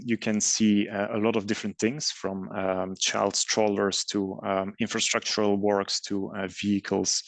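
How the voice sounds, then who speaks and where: A middle-aged man from Belgium giving a presentation on design, pausing often with ums and uhs. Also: on the low side, no room sound. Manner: hesitant, many ums and uhs. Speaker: design studio head and master's coordinator. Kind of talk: presentation talk with slides.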